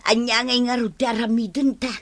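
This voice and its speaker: A woman's voice: on the high side, level tone, brisk, close to an everyday voice. An actress playing a chatty, exclamatory village peddler woman in a comedic radio drama. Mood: doubtful.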